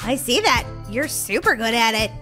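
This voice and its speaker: A woman's voice: a little high, animated, normal speed, sharp tone, soft background music, voice pushed down. A woman voicing a sweet, eager adopted girl in a cheerful children's game roleplay.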